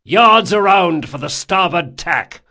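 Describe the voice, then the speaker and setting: A man voicing a gruff, cursing first mate in an audiobook, bellowing drawn-out orders and insults at deckhands. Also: high voice, lively intonation, sharp tone, pitched above the performer's own voice, exaggerated voice. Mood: bullying, furious. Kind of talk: audiobook character acting.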